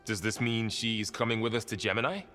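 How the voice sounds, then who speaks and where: A man's voice: on the low side, level tone, brisk, pushed and bright, in a large hall, soft background music. A man playing a concerned, tactful companion in a science-fiction adventure video game. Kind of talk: video game cutscene acting.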